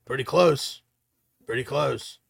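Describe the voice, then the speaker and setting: A man's voice: medium pitch, takes it slowly, vocal fry. A man co-hosting a casual sports chat, enthusiastic and hedging as he corrects himself. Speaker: co-host picking fights.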